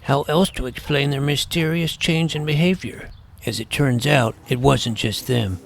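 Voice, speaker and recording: An actor playing a nerdy, earnest junior high schoolboy in a comedic audio drama, talking in a precise, wordy way. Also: male voice, moderate pace, vocal fry, slight background noise, lightly acted. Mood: earnest narrating. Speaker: narrator and lead character.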